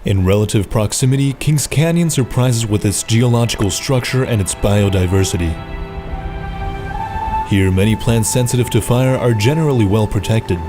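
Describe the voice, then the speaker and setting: A man giving scripted, measured documentary narration. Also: fairly low pitch. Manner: measured, informative. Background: background music.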